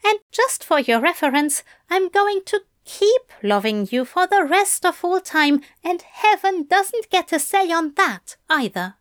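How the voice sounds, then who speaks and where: Woman doing a soft teasing demon guy, high voice that swoops around a lot, slow, dry close sound. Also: lively intonation, no echo, exaggerated voice. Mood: tender promising. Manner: soft, coaxing, earnest. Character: lanky male demon, tender and teasing. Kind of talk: audiobook fan fiction reading.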